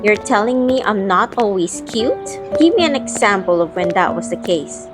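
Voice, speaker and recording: A spirited young woman played by a woman speaks with teasing affection, as to a childhood friend. It is scripted audio drama, mid-pitched, with music clearly heard behind her. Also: animated, moderate pace, natural voice. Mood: teasing, indignant. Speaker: first-person narrator.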